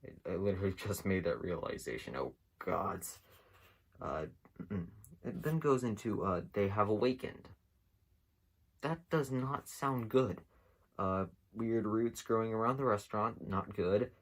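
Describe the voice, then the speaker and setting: A man talks through his commentary in a very low voice with a heavy fry. He hosts casually and without a script, taking his time and often pausing as he rambles from thought to thought. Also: lively intonation, poor audio. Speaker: host of an internet mystery series. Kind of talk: casual unscripted video commentary.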